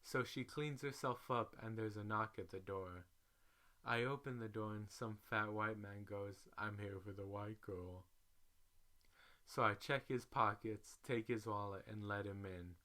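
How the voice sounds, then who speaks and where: A man giving a dramatic, comedic storytelling read, animated when he quotes people. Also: on the low side, normal speed. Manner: animated, exclamatory in quoted lines. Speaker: reader.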